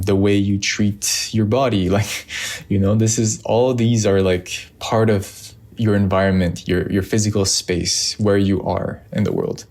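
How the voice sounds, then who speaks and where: A man's voice: deep voice, darkish tone. A man from Montreal whose first language is French, speaking with a Quebec French accent. He muses to camera in a loose, reflective way, with many ums and restarts. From Montreal, Canada. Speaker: vlogger. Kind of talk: reflective casual talk to camera.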